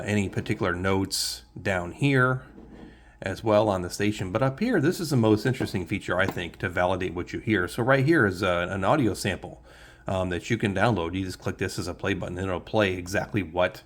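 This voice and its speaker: This is a man with a deep, low-pitched voice presenting as a hobbyist. He talks casually and without a script, walking the viewer through a demonstration. His delivery is halting, as if working out each phrase while he goes. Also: a bit bright. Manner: halting, thinking aloud as he demonstrates. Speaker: shortwave radio hobbyist presenter.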